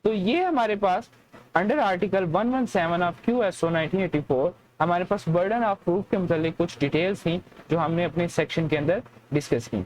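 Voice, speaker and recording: A woman's voice: on the low side, a little slow, darkish tone, a little hiss or hum, rough recording. A woman with a Pakistani accent giving an explanatory law lecture in a didactic way, mixing in English terms and often answering her own questions. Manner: didactic, repeats points and poses questions then answers them.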